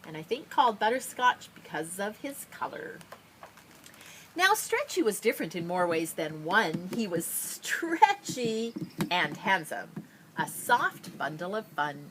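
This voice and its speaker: Woman reading a picture book, very animated. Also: mid-range voice, a little hiss or hum, low-quality recording. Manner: drawn-out dramatic phrasing with playful asides. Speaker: storybook reader. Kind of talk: expressive children's picture-book read-aloud.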